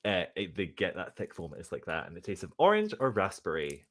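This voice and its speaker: A man's voice: low-pitched, huge pitch swings, a little fast. A young man co-hosting a theatre podcast, chatting casually on a livestreamed quiz over a video call. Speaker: co-host of a musical theatre podcast. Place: indoor room, video call.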